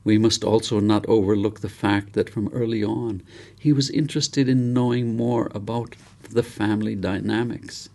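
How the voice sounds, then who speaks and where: Man reading as a solemn, creaky ancient elder. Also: fairly low pitch, fairly even intonation, normal speed, darkish tone. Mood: hopeful, solemn. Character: most ancient village elder, solemn, hopeful, wise.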